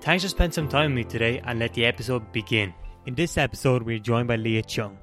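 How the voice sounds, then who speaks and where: A man's voice: medium pitch, fast talker, a bit bright. A male podcast host with a mid-range voice delivers his introduction steadily and upbeat. Faint music plays underneath. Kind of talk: scripted intro, then casual interview.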